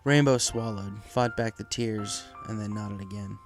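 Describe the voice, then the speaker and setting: A man's voice: fairly low pitch, a little slow. A man reading scripted fan fiction as its narrator, with music behind his voice.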